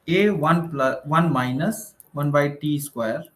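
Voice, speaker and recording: Male maths teacher, Indian accent, slightly high voice, informal explanatory delivery with frequent check-in tags, noticeably echoey room. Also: very slow. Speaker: maths teacher for exam preparation. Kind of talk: informal online lecture, working through problems. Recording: roomy, echoing room sound.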